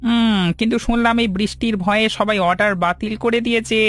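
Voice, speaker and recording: A man's voice: high voice, fairly even intonation, a little fast, a bit bright, dry recording. A man voicing a friendly village milkman in a cartoon folk tale, reassuring and a little hesitant. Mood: consoling. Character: friendly village milkman, consoling news-bearing neighbour.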